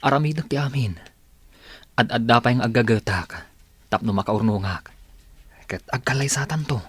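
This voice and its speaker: An actor playing a persuasive, insistent young village suitor in an Ilocano radio drama, with an Ilocano accent. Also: male voice, medium pitch, normal speed, husky, dry recording, lightly acted. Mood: pleading, reassuring.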